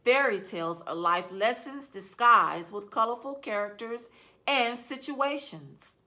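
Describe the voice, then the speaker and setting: A woman's voice: on the high side, lively intonation, unhurried, bright, forceful tone. A woman reading as a stern earnest schoolteacher. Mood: earnest lecturing. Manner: earnest, lecturing. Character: stern, judgmental sixth-grade woman teacher.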